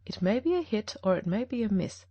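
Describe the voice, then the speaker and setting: A woman reading an audiobook, voicing a shrewd male detective who speaks in a measured, gently firm way. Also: medium pitch, sing-song, no echo.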